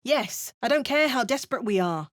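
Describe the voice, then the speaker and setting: An actress voicing a wry, bookish adventuring heroine with a British accent, speaking game voice lines and spell words. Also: female voice, on the high side, animated, normal speed, a bit bright. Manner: dry, wry remarks and chanted spell words. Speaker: player character voice lines.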